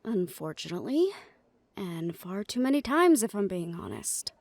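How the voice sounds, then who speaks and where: A woman's voice: mid-range voice, big pitch swings, normal speed, a little mellow, no room sound. A woman playing a supportive, protective big-sisterly character, firm and dryly sardonic, in an audio roleplay drama. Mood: supportive, frank.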